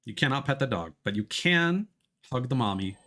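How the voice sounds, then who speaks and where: Man, mid-pitched voice, casual game streamer, chatty live commentary, clear game noise behind him. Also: lively intonation, moderate pace, a bit bright. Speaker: video game livestreamer.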